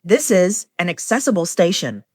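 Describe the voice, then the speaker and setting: A woman reading scripted automated train announcements in measured, formulaic phrases. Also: lowish voice, big pitch swings, darkish tone, no echo, clean studio sound. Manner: formulaic, measured delivery of short set phrases.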